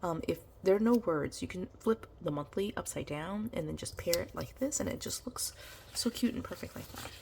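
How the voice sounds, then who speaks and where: Woman, sick and congested, nasal, low pitch, creaky edges, meandering casual vlog chat. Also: expressive, moderate pace, a little mellow, slight background noise. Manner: chatty, meandering, full of ums and you-knows. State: sick, losing her voice. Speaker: planner vlogger.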